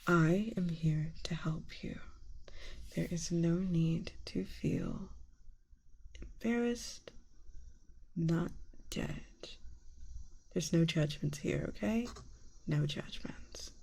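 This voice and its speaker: ASMR roleplay therapist, acted by a woman: very slow, lowish female voice, soothing and quietly manipulative, slipping into half-whispers. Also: gravelly, breathy edge, heavily acted, low-quality recording. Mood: reassuring. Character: soothing, subtly manipulative female therapist guiding hypnotic relaxation.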